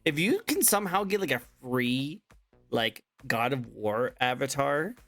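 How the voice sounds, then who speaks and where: A man's voice: mid-range voice, animated, a little slow, a bit bright, no room sound. Male host, casual and upbeat, repeats himself, plays along with jokes, faint music behind. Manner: upbeat, repeats phrases, playful. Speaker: talk show host. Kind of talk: casual interview chat.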